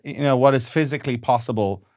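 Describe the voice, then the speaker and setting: A man with a subtle German accent, telling a story in an animated, casual podcast chat. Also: mid-range voice, quick pace. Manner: animated storyteller, lots of fillers. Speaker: podcast co-host.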